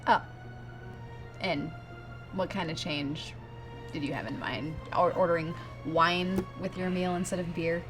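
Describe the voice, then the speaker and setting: A woman's voice: fairly low pitch, steady tone, measured pace, pauses often, over music, lightly acted. A young woman playing a headstrong, romantic goth fiancée in a comedic short film, insistent and dramatic as she persuades. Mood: frustrated, needling.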